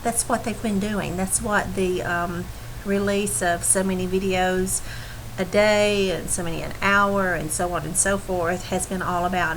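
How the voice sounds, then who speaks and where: Slightly raspy woman with a cold. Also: medium pitch, a little hiss or hum, low-quality recording. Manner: earnest and reassuring, many ums and restarts. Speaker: team leader giving an update. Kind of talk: live video update to her team.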